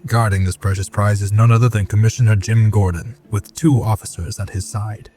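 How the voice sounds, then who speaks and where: A man's voice: fairly low pitch, fairly even intonation, a little fast, mellow, recorded from a distance, faint music behind. A man giving dramatic scripted narration as the narrator of an audio drama.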